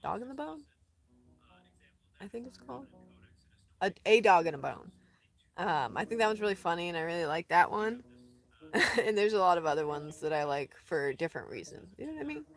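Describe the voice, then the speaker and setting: Lowish-voiced gal rambling on a phone livestream out in the desert, laughs a lot, goes quiet here and there. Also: female voice, sing-song, fairly quick, long pauses. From Colorado. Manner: chatty and rambling, laughs often, drops to soft asides. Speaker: van-dwelling traveler streaming live. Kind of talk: casual live stream chat. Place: outdoors in the desert.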